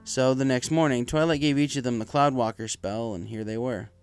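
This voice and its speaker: A man's voice: medium pitch, fairly even intonation, brisk, darkish tone, clear, resonant tone, no echo. A man reading a fan fiction story aloud as a scripted narration. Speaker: story reader. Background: music.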